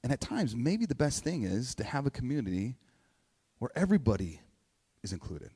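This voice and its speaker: A man's voice: medium pitch, sing-song, moderate pace, warm tone, slightly rough. A man in his forties, a pastor, gives an informal, conversational sermon, often repeating phrases and checking in with his listeners. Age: 40s. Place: large reverberant indoor room, church gathering. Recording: echoey hall with long reverb.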